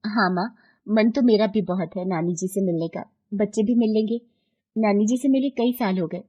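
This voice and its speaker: A woman voicing a devoted, grateful granddaughter with an earnest, expressive delivery in a moral story. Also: medium pitch, moderate pace, breathy edge, muffled recording, close to an everyday voice. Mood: eager. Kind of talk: acted children's moral story.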